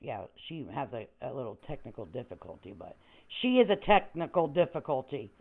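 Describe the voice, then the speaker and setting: Female reaction host: combative, sarcastic, sweary, very lively pitch, slightly lo-fi streaming sound. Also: fairly quick, vocal fry, breathy edge. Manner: confrontational, sarcastic, foul-mouthed. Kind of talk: mocking commentary on another creator's stream.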